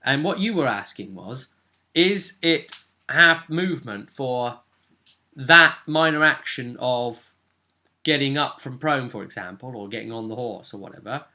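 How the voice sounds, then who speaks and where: A man speaking as game master, casually recapping a tabletop role-playing session in an animated, explanatory way. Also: medium pitch, unhurried.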